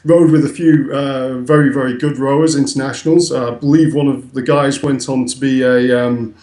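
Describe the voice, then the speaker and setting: A man's voice: medium pitch, steady tone, moderate pace, darkish tone, reverberant. A young man with a Northern English accent, explaining his website in a casual, hesitant interview full of ums. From north of England. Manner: hesitant, many ums and restarts. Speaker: guest, website co-founder.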